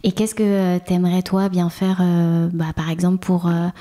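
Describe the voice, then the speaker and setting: A woman hosting a podcast interview, asking relaxed questions with short murmured assents. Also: on the low side, normal speed. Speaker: podcast host. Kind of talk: casual interview.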